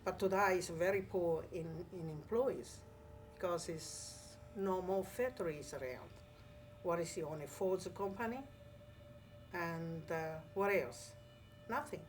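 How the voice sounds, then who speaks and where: Older woman, low voice, slow halting English, soft music behind. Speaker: community member. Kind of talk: casual interview.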